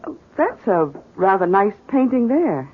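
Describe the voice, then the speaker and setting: An actress playing a polite, cautious, thrifty wife in a 1970s radio drama. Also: female voice, medium pitch, very animated, unhurried, slight background noise. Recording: muffled lo-fi 1970s radio broadcast.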